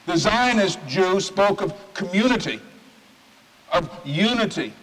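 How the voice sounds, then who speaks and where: A man, a rabbi, giving a sermon in an oratorical manner, stacking phrases with emphatic pauses. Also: high voice, animated, fairly bright tone. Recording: reverberant hall recording.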